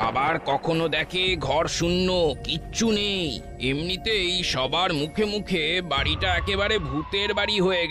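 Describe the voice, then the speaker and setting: A man's voice: fairly high pitch, natural voice. A man voicing a suspicious village gossip in a cartoon, recounting things like a storyteller. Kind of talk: cartoon dialogue.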